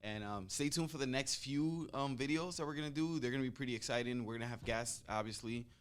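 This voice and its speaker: A man's voice: medium pitch, fast, clear, resonant tone. A young man hosting a podcast in a tattoo shop, chatting loosely and swearing freely, holding a handheld mic. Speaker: podcast host, tattoo artist. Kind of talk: casual chat.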